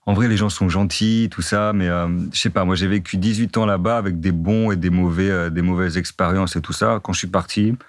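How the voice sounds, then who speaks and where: Deep-voiced young man chatting. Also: fairly quick, few pauses, no room sound, clean studio sound. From northern France. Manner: relaxed, repeats himself, many fillers. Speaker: dating-profile guest. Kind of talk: casual interview chat.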